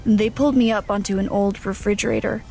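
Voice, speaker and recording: A woman plays a small human lost in strange lands in an audio drama. The voice sits at a middle pitch, measured in narration and hesitant in questions. Faint music runs underneath. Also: fairly even intonation, creaky, mellow, natural voice. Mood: uneasy. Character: small, wary, practical human traveler lost in a landfill. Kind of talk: audio drama first-person narration and dialogue.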